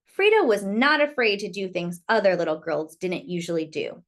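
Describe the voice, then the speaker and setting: A woman's voice: medium pitch, very animated, moderate pace. A woman reading a picture book aloud to young children, expressively and with little asides to her listeners.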